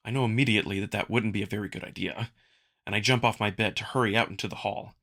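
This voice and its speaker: A man's voice: on the low side, fairly even intonation, fast talker, pushed and bright. A man reading scripted first-person narration for a visual novel as the narrator.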